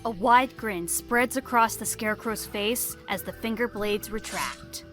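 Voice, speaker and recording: A woman's voice: mid-range voice, measured pace, fairly bright tone, natural voice. A woman narrating a spooky fantasy audio drama as a dramatic, scripted storyteller. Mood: dramatic storytelling. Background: music behind the voice.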